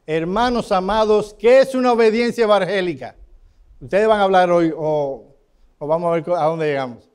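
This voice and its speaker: A high-voiced man with a Cuban Spanish accent leads a church Bible class. He keeps turning to the room with questions and calls on his students by name. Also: normal speed, a little mellow, clean tone.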